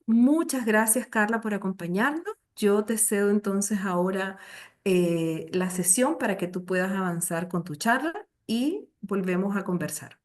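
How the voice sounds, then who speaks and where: A woman's voice: medium pitch, animated, unhurried. A woman hosting an online session in a formal, courteous presenter style over a video call. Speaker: session host.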